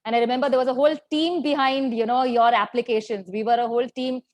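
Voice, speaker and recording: A woman's voice: fairly high pitch, steady tone, fast, dull audio. A woman hosting a webinar panel, asking students chatty questions full of fillers over a video call. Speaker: webinar host, admissions consultant.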